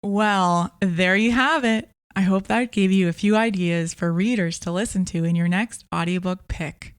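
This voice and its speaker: A woman presenting a scripted podcast review in a measured, clearly phrased way as the host. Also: medium pitch, no room sound, studio quality.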